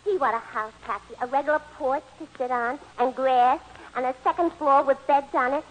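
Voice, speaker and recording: A woman's voice: high-pitched, brisk, low-quality recording. A woman playing a naive, guileless gangster's girlfriend with a plaintive manner in a 1940s radio comedy. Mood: wistful. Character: naive, dim but sweet gangster's girlfriend. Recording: old radio broadcast recording.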